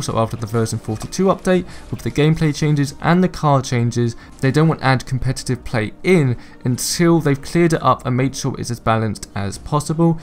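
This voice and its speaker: A young man hosting a gaming channel, giving an eager, opinionated rundown of upcoming game updates. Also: medium pitch. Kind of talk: game news commentary. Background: faint background music.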